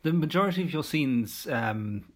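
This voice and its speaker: A male interviewer asking brief, quiet questions. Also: fairly high pitch, expressive, normal speed.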